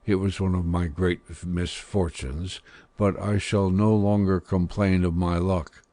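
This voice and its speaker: A man's voice: low-pitched, fairly even intonation, measured pace, dark tone, dry recording. A man voicing an earnest, sensitive young man in an audiobook, speaking with restraint. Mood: moved, manly. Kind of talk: audiobook character dialogue.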